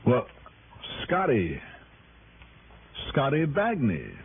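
A man acting a wry, self-assured American investigator who tells his case in brisk hard-boiled 1960s radio drama style. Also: mid-range voice, wildly swooping pitch, breathy edge, exaggerated voice. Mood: cool, firm. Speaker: lead actor and narrator of a radio detective serial. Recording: tinny early-1960s radio recording with music.

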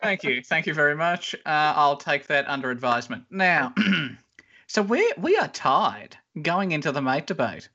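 A man's voice: fairly high pitch, very animated, moderate pace, sharp tone. A man with a nasal voice hosting a comedy podcast, playfully announcing rounds. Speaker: host. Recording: lo-fi podcast audio.

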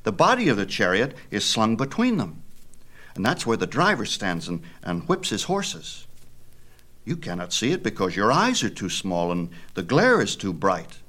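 A man's voice: lowish voice, wildly swooping pitch, moderate pace, sharp tone, full character voice. A man voicing a proud, defensive young boy, earnest and stubborn, in a mythology storybook. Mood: defensive bluffing. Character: proud, defensive boy bluffing about his divine father.

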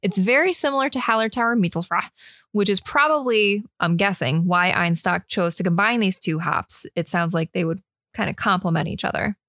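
A woman's voice: medium pitch, moderate pace, a bit bright, no echo, clean studio sound. Chatty woman reading beer notes. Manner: chatty, reads notes with easy asides. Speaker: beer podcast co-host. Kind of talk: casual chat with read-out research notes.

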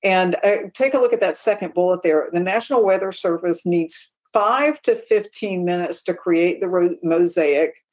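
A woman's voice: on the low side, moderate pace, few pauses, darkish tone. A woman co-hosting an aviation talk show, explaining points in a casual but emphatic way. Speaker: podcast co-host.

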